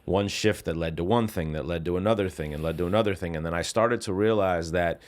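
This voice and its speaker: A man's voice: deep voice, quick pace. A man hosting a podcast interview, attentive and encouraging, with frequent short affirmations. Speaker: podcast host. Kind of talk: interview conversation.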